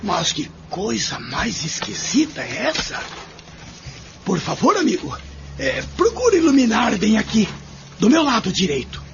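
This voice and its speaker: An actor playing an inquisitive, deferential neighbor in a radio drama, with a gravelly, animated delivery. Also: male voice, sits high, a little slow, noisy background, heavily acted. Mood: puzzled. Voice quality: very creaky, gravelly. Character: inquisitive rustic male neighbor examining buried finds. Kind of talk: amateur radio drama acting. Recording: muffled recording with music behind.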